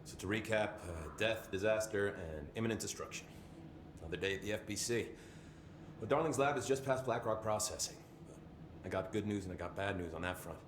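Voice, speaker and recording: A wry, worn-down security chief from a video game, played by a man. His voice is on the low side, with an informal sardonic edge. It echoes in the space over clear background ambience. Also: a little fast, background noise, close to an everyday voice. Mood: sardonic, resigned. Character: wry, weary male security chief under siege. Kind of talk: video game cutscene dialogue.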